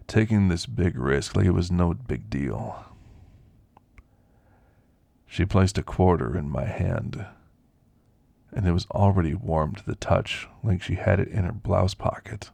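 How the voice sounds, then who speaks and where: Elderly werewolf , male actor, reflective ASMR storyteller: husky, weathered rasp, unhurried folksy delivery, close and dry recording. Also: deep voice, darkish tone, no room sound, full character voice. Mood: wistful, reflective. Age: older. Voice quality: gravelly, husky. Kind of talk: ASMR roleplay monologue.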